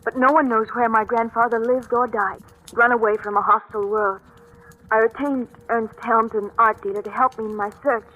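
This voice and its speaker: A woman's voice: a little high, fairly even intonation, moderate pace, bright, forceful tone. A woman playing an anxious, pleading young woman in a 1940s radio drama, earnest and melodramatic. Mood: fretful. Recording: dull vintage radio recording.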